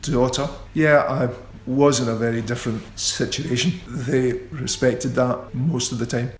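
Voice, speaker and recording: A middle-aged man guesting in a radio interview, talking in an unhurried, self-deprecating and wry way. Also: mid-range voice, fairly even intonation, slight background noise. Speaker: guitarist guest. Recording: roomy echo.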